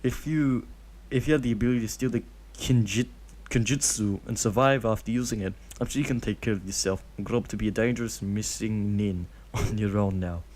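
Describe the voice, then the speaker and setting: A man's voice: fairly low pitch, brisk, hardly any pauses, darkish tone, close to an everyday voice. A man voicing a weary adult ninja who turns a child away firmly. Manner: firm, tired, lightly raised.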